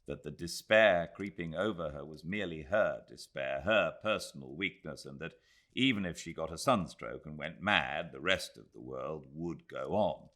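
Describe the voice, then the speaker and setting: A British man reading literary narration as an audiobook narrator, measured and expressive. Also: a bass voice. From Britain.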